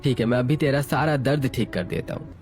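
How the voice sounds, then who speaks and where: A man's voice: medium pitch, lively intonation, brisk, darkish tone, faint music behind, natural voice. A man playing a cruel, mocking young husband who taunts his wife in a dramatized family story. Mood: gloating.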